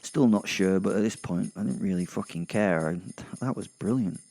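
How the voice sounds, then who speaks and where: A man's voice: low-pitched, moderate pace, gravelly, mellow. A man narrating a first-person horror comedy audio drama in a gleeful, sardonic, sweary manner. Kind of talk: first-person darkly comic horror storytelling, in character.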